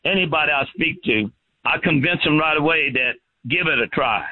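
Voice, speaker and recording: A folksy man with a slightly high voice chats through a customer testimonial. He is plain-spoken, on a narrow telephone-like line. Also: animated, moderate pace, dry recording. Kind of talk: casual anecdotal testimonial. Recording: dry, narrow phone-like line.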